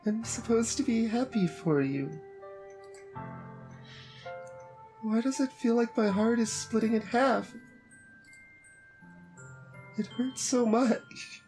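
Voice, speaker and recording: A woman acting sweet fragile anime teen girl, visual novel, earnest, halting, slow pace, faint music behind. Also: fairly high pitch, warm tone. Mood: heartbroken. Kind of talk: visual novel character voice-over.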